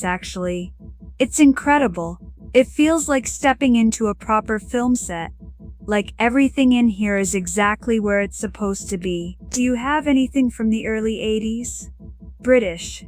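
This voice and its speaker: A woman plays an eager young professional in an audio drama. She talks in a chatty, brisk, salesy way, at a middling-high pitch. Soft music runs underneath. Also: close to an everyday voice. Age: young adult. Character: bright young professional woman, eager streaming-platform scout. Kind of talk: scripted audio drama dialogue.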